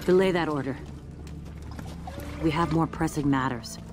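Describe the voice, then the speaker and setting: Man playing a firm, higher-voiced samurai commander. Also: unhurried, over music, close to an everyday voice. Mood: stern, resolute. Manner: firm, formal. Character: resolute, authoritative samurai commander. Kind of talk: video game cutscene acting.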